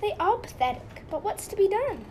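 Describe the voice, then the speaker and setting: A woman's voice: extremely high pitch, very animated, brisk, a little mellow. A woman playing a helpful, cautious goddess in a radio play, with a conspiratorial manner. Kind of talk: amateur radio play acting. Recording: very noisy amateur recording.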